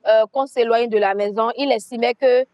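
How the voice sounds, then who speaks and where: A woman from Benin, her voice on the high side. She speaks earnestly, leaning on repeated phrases as she recounts her past. The recording is dry and close. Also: fairly even intonation, normal speed, darkish tone, no room sound, lo-fi sound. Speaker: guest, activist and coach. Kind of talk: conversational storytelling.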